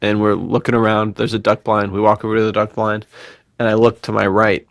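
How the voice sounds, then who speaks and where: American podcast guy, kinda low creaky voice, slangy bro talk, casual storytelling. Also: completely flat delivery, brisk, no echo, clean studio sound. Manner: slangy, bro-ish, chuckles through stories. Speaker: podcast co-host. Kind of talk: casual podcast chat, telling personal stories.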